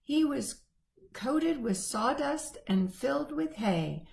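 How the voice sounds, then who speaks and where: A woman reading a picture book aloud to children, gently and expressively. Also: sits high, expressive, takes it slowly, gravelly. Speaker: church children's storyteller.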